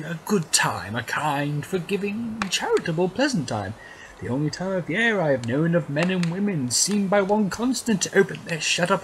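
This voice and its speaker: A man's voice: sits high, slight background noise, low-quality recording. An actor playing a kindly, earnest, cheerful young man in an audio play. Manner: earnest, exclamatory.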